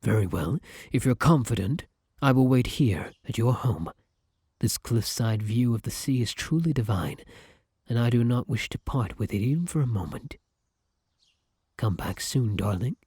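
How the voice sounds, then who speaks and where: A man's voice: mid-range voice, lively intonation, moderate pace, darkish tone, husky, no room sound, studio quality. A man playing a devoted, old-fashioned vampire in an ASMR roleplay, speaking formally and with flowery affection. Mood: polite, wistful. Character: courtly, devoted gentleman vampire, male, tender and old-fashioned. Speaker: roleplay voice actor. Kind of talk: ASMR roleplay acting addressed to the listener.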